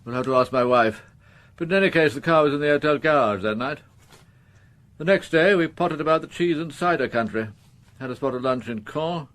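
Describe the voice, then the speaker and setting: An actor playing a fussy upper-class English gentleman in a radio crime drama, languid, grumbling and well-mannered. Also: fairly high pitch, fairly quick, natural voice.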